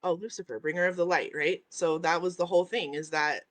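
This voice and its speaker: A woman's voice: lowish voice, fairly even intonation, fairly quick. Woman, host, spiritual teacher, emphatic, repetitive, checks in with "right?", at home. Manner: emphatic, repeats key phrases, tags lines with "right?"; reads out and answers viewer comments. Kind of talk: spiritual teaching on a live stream. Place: at home by a window, with dogs in the house.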